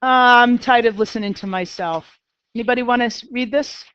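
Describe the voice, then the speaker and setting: A woman's voice: fairly high pitch, moderate pace, a bit bright, smooth, muffled recording. A middle-aged woman with a Boston accent, teaching a math class in a chatty, self-deprecating way and talking straight to her students. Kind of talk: classroom lecture.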